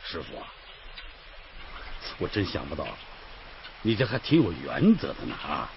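Over a dull recording with clear background noise comes a plump, jovial old gentleman. His folksy, inquisitive talk rises and falls widely, in radio play style. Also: low voice, huge pitch swings, heavily acted. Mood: approving. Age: older. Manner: chuckling, folksy, drawn-out interjections. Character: jovial, curious fat old gentleman passenger. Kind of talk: radio drama acting.

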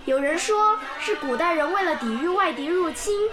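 A woman's voice: extremely high pitch, normal speed. An eager little village girl. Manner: lively, eager storytelling. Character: bright, curious young village girl. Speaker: pupil. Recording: background music under the scene.

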